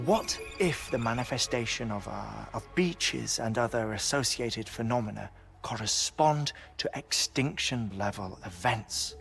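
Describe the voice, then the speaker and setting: An earnest scientist in a video game, laying out theory in a precise, scholarly way. His pitch sits in the middle, with a game score playing clearly behind him. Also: lively intonation, a bit bright, soft background music. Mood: explaining. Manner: measured, scholarly, precise, lecturing. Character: brainy, earnest male scientist lecturing on theory. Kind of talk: video game cutscene acting.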